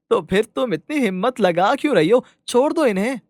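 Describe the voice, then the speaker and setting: A woman's voice: fairly high pitch, lively intonation, moderate pace, darkish tone, no room sound, studio quality. A woman voicing a playful little boy, a teasing and coaxing younger brother, in a children's cartoon story.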